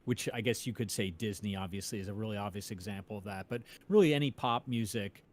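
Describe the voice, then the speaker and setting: A man's voice: lowish voice, quick pace. A male co-host in a casual music discussion, explaining ideas tentatively and checking that he is on track.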